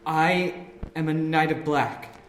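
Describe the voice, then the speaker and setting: A man's voice: a little high, fairly even intonation, slow pace, faint music behind. An actor playing an earnest, deferential young man being initiated into a secret order in an audio drama. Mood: reverent, solemn. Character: earnest young initiate, obedient son of cult leader. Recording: echoey room with faint noise.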